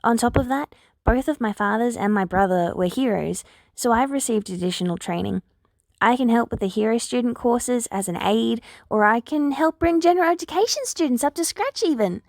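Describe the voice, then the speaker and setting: A woman's voice: on the high side, expressive, normal speed, a little mellow, dry recording. A woman voicing an earnest, eager older girl, a polite and persuasive hero student in a story.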